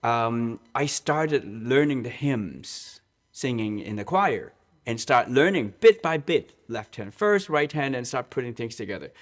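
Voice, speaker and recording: Earnest man, church music director. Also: medium pitch. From Hong Kong. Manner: earnest, restarts and repeats words, slows on key points. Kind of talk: interview, personal storytelling.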